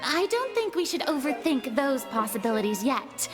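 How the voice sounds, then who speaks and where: A woman's voice: sits high, very animated, normal speed. A woman voicing a proud, anxious young god of justice in a video game, with a theatrical, emphatic delivery. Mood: insistent, defensive. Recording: loud game music behind the voice.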